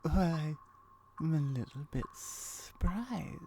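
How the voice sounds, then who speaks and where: Female actor as guarded gorgon, ASMR roleplay, lowish pitch, dark gentle tone, formal hesitant phrasing, soft and breathy. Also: expressive, takes it slowly, a little hiss or hum, exaggerated voice. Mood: guarded, calm. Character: lonely, guarded female gorgon, wary yet curious.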